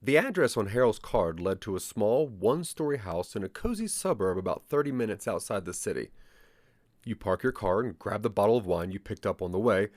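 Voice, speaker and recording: A man's voice: on the low side, animated, brisk, darkish tone, dry recording. A man narrating a scripted second-person story for an interactive audio drama.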